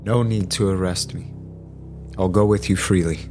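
A man's voice: sits low, steady tone, moderate pace, a little mellow, close to an everyday voice. A man playing a serene, steadfast apostle in a religious audio drama, solemn and devout in manner. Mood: calm resolve. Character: serene male apostle, steadfast and pious, facing martyrdom. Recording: music playing under the voice.

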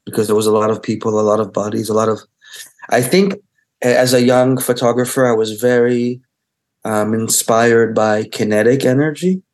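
A middle-aged man born in Israel and raised on Hebrew, giving a thoughtful interview about his life as a photographer. He gropes for words, restarts often and circles back on his ideas. His voice sits at an ordinary male pitch. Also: fairly even intonation, darkish tone. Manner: halting, searching for words, many restarts. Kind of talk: interview, reflective talk.

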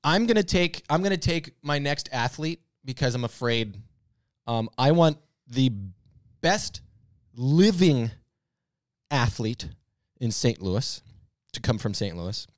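Animated man bantering, emphatic and swooping. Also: medium pitch, slow pace, long pauses, no room sound, studio quality. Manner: emphatic, playfully hyperbolic. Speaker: podcast panelist and co-host. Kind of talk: casual banter.

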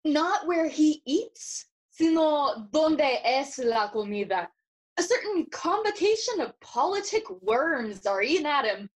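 A woman playing a sardonic, riddling young prince in classical audio drama, with a deliberate, taunting delivery. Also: high voice, sing-song, exaggerated voice. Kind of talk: classical theatre acting in audio play.

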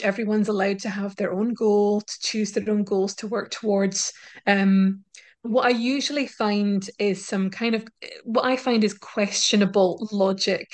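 A female linguistics professor answering interview questions in a thoughtful, explanatory way, with frequent pauses and restarts. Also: mid-range voice. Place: university in Scotland.

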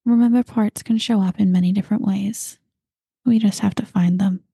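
A woman guiding a meditation, talking slowly and calmly and at times dropping into breathy whispers. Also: medium pitch, dry recording, clean studio sound. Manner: slow, soft and calm, drifting into breathy whispers. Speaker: meditation guide. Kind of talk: guided meditation.

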